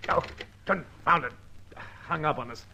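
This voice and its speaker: An actor playing an irascible, blustering British police superintendent, sarcastic, in an old radio detective drama. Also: male voice, on the high side, a little slow, vocal fry. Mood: exasperated. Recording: muffled, noisy old radio recording.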